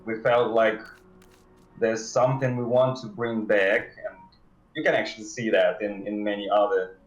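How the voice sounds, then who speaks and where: Low-voiced man, halting, in an echoey room. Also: steady tone. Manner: halting, hesitant phrasing with drawn pauses. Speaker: game developer interview guest. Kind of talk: casual livestream interview. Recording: dull, roomy sound.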